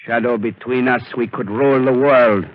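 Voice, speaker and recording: An actor playing a scheming male mastermind in a 1930s radio drama, speaking slowly and with menace. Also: mid-range voice, steady tone, no echo, natural voice. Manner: slow, menacing, measured. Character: scheming male mastermind bent on ruling the world. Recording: muffled old radio broadcast.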